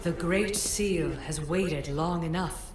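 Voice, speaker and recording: Game casting: ancient oracle, solemn and commanding, lowish female voice, echoing. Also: slow pace, music in the background. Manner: formal, commanding, cryptic. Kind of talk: video game voice acting. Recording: echoing voice, faint background noise.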